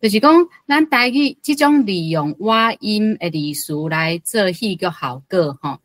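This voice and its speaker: A woman's voice: mid-range voice, lively intonation, measured pace, a bit bright, no echo. A woman speaking as a guest commentator, sharing informal reflections in a conversational way. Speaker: guest commentator, book club member.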